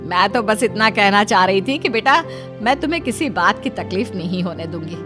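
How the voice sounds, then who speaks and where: Cartoon mother-in-law, played by a woman: gentle, motherly, easily taken aback, lively intonation, music underneath. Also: mid-range voice, moderate pace, natural voice. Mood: warm. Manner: coaxing, motherly. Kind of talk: cartoon family drama acting.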